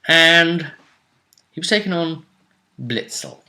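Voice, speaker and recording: A man talking casually as a game master and host, recapping a tabletop role-playing game with emphatic, dramatic pauses. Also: fairly high pitch, lively intonation, pushed and bright.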